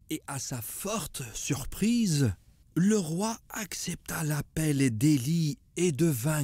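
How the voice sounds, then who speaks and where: A kindly old cartoon grandfather, tells a tale slowly and solemnly. His voice is mellow and patient, with lively rises and falls. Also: medium pitch, dry recording. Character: kindly old grandfather sage telling prophetic stories. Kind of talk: cartoon storytelling.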